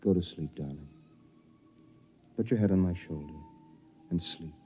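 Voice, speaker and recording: An actor playing a gentle, doubtful young husband who survived atomic war, in an earnest 1950s radio drama style. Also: male voice, low-pitched, normal speed, soft background music, natural voice. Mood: tender. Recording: muffled old radio recording.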